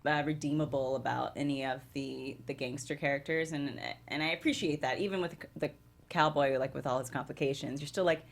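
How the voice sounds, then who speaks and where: A woman joins a casual panel discussion with a low-pitched voice. She talks informally, in halting phrases with repeated words.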